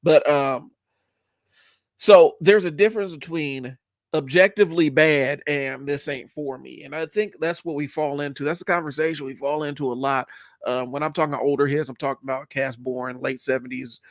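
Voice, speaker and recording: A man's voice: on the high side, fairly even intonation, moderate pace, no room sound, clean studio sound. A man in his forties with the sniffles, hosting a solo stream and talking casually, with many fillers. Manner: conversational, rambling with many fillers. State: stuffy, has the sniffles. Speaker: podcast host.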